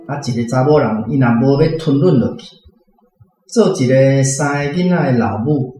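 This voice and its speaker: Mellow Taiwanese man, very echoey, over guitar. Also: mid-range voice, moderate pace. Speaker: reading club member commenting on a story. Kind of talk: reflective discussion. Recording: very echoey room with guitar music.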